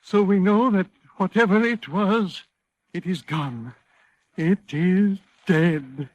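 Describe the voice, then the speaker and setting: An actor playing a scholarly doctor reporting as a shaken eyewitness, in formal 1940s radio drama style. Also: male voice, high-pitched, slow, no echo. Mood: shaken, awed. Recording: noisy vintage 1940s radio recording.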